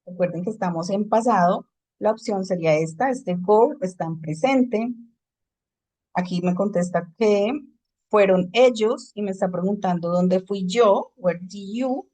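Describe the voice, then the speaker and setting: A woman's voice: mid-range voice, measured pace, warm tone. Woman teaching an English class. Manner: explains in Spanish, switches to English for examples. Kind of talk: online class lecture.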